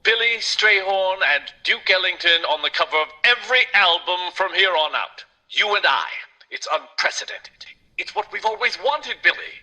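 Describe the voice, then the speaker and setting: Bright, high-pitched, persuasive bandleader. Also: male voice, expressive, normal speed, faint music behind, exaggerated voice, poor audio. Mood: excited, persuasive. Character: enthusiastic, persuasive male jazz bandleader in the 1960s. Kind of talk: audio drama acting.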